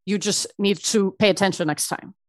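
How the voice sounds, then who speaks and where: Animated middle-aged woman guest, dry sound. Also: mid-range voice, moderate pace, no room sound. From Ecuador, raised in Miami. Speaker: therapist and podcast guest. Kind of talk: casual interview storytelling.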